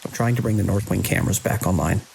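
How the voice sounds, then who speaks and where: An actor playing a grim, commanding security chief in a horror audio drama, giving terse, urgent orders. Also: male voice, low voice, quick pace, vocal fry. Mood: firm, urgent. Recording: constant hiss and rain-like noise.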